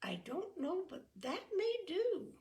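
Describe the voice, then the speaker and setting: A woman voicing a cheerful young girl who eagerly plays matchmaker, with bright, emphatic exclamations. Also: extremely high pitch, sing-song, takes it slowly, voice pushed up, heavily acted.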